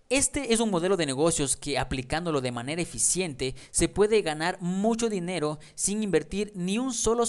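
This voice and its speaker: A man's voice: on the high side, smooth. A man narrating a slideshow tutorial on starting an online business, speaking straight to the viewer as a friend, with a voice-over recorded on a close mic.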